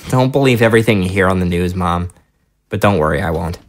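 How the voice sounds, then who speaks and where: A man plays a sullen young adult son in a naturalistic audio drama. His voice is extremely low. He answers in clipped, guarded, faintly sardonic phrases. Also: a little fast, lightly acted.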